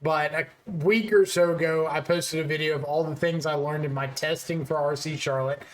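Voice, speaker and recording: A man with a slightly raspy voice talks through card game strategy in a relaxed, casual way, thinking aloud with fillers as he goes. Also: on the high side, normal speed, echoey room. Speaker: card game content creator.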